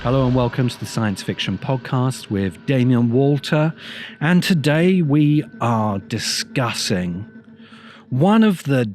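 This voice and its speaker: Slow, expressive man hosting a podcast. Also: medium pitch, faint music behind. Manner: grave, deliberate, emphatic phrasing. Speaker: podcast host. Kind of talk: reflective monologue, video essay.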